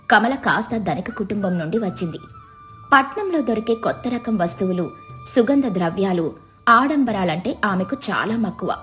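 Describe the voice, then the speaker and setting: A woman narrating a scripted moral story as a storyteller. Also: mid-range voice.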